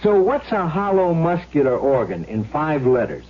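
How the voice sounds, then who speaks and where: A man's voice: a little high, very animated, moderate pace. An American actor playing a curious, easily impressed man in a 1970s radio spot. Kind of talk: 1970s radio public service dialogue. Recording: dull vintage radio broadcast.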